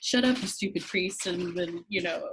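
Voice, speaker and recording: A woman's voice: fairly low pitch, normal speed, a bit bright, slight background noise. A woman who writes for a theatre group, talking casually. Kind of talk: casual chat.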